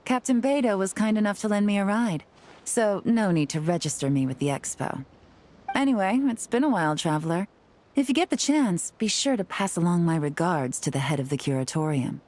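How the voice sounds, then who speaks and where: A woman's voice: lowish voice, lively intonation, fairly quick, a little mellow, natural voice. A woman voicing a poised, cool, secretive female agent in a video game, with a coy delivery. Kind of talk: video game character voice acting.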